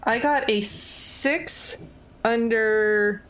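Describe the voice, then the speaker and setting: A woman's voice: a little high, extremely slow, fairly bright tone, rough recording. A woman chatting casually as a player in a tabletop roleplaying game.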